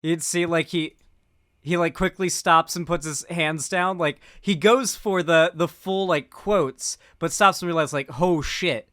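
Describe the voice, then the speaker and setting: A man's voice: a little high, a little slow, sharp tone, dry recording, clean studio sound. A man giving casual political commentary while stoned, rambling with lots of fillers and restarts.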